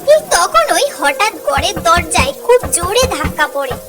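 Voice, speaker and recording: A very high-pitched voice narrates a cartoon story. The delivery is dramatic and storybook-like, with lively rises and falls. Music plays underneath. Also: normal speed. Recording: music behind the voice.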